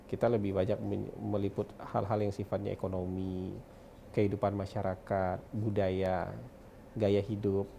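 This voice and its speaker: A man's voice: fairly low pitch, level tone, moderate pace, vocal fry, dark tone, a little hiss or hum. A man in his forties from West Sumatra with a Minangkabau-tinged accent, a political consultant talking reflectively and chuckling often in an interview. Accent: Minangkabau-tinged Indonesian. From West Sumatra, Indonesia. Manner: reflective, chuckles often, mixes in English words. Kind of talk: relaxed interview chat.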